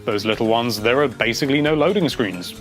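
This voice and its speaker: A man's voice: medium pitch, a little fast, fairly bright tone, soft background music. A man hosting a casual video, reading out viewer comments and chatting about them in a rambling, enthusiastic way.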